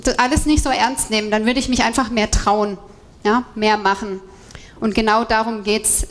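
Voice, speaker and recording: This is a woman in her thirties with a fairly high voice. She presents as a coach at an indoor event, wearing a headset. Her delivery is that of a motivational talk, explaining things and addressing her listeners directly. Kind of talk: motivational stage talk to an audience. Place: indoor event room with seated audience. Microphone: headset microphone.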